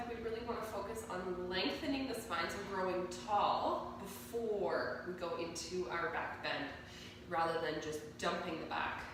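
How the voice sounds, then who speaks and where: Woman talking a yoga class through it, bit stuffy from a cold, slow calm cues, super echoey room. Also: fairly low pitch. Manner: calm, slow cueing of poses and breaths. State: has a cold, slightly nasal. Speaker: yoga instructor. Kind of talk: guided yoga class instruction. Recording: echoey room, mic at a distance, audible noise.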